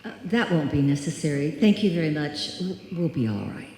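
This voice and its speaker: A woman acting a bossy, unflappable lady in a radio suspense play. Her voice is mellow but firm and dismissive, ringing heavily through a live hall. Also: sits low, lively intonation, a little fast. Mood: composed, curt. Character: brisk, stubborn woman refusing to be frightened. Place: live stage performance in a hall.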